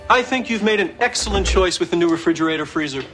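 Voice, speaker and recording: A man's voice: high voice, lively intonation, fast talker, over music. An actor playing a courteous, apologetic appliance store salesman in a naturalistic television drama. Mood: polite, awkward.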